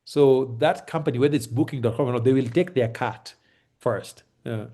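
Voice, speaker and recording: A Kenyan man with a Kenyan accent giving relaxed, reassuring advice on a video call, with lots of fillers and restarts. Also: medium pitch, moderate pace. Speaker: host advising on moving to Kenya. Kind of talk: casual advice chat on a call. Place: home in Kenya.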